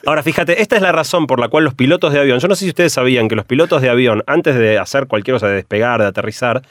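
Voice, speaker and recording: A middle-aged man with an Argentine Spanish accent, a radio columnist explaining ideas through a steady run of examples. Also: mid-range voice, racing, no room sound, studio quality.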